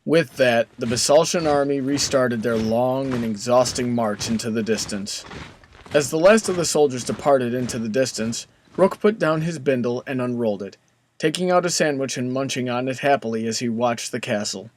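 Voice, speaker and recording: A man's voice: medium pitch, normal speed. A man reading scripted story narration as the narrator of an audiobook. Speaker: narrator, fan fiction reader. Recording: clearly noisy background ambience.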